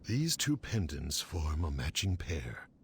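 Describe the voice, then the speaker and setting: A deep-voiced, gravelly military captain from a fantasy game. He is middle-aged, solemn and devoted, speaking in an earnest, measured way. A faint rumbling noise sits behind him. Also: sing-song, exaggerated voice. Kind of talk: fantasy game cutscene acting.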